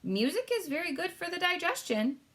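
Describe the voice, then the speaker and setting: A woman voicing a gracious, composed Queen in a storybook, speaking in a polite, measured, formal way. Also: extremely high pitch, big pitch swings, fairly bright tone, pitched above the performer's own voice, full character voice.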